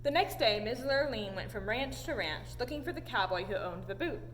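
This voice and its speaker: A woman reading a storybook aloud in an animated storytelling style. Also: fairly high pitch, fairly quick. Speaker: story time reader. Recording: echoey hall, audible background noise.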